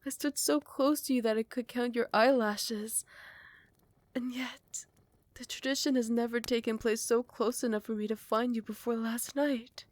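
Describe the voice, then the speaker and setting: A woman voicing a proud vampire royal smitten with a rival, in soft, formal, measured speech that often slips toward a whisper, for a romantic ASMR roleplay. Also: a little high, steady tone, natural voice. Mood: wistful, tender. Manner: formal, archaic, measured courtly phrasing. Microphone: close microphone, dry.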